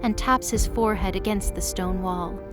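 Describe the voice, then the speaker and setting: Woman narrating a drama over soft music. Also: medium pitch, normal speed. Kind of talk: scripted present-tense story narration. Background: background music.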